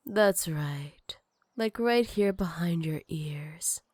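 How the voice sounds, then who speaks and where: A woman's voice: lowish voice, darkish tone, no echo, clean studio sound. A woman playing a jealous, possessive villain with magic, teasing and menacing in a sultry ASMR roleplay. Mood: intimate, teasing.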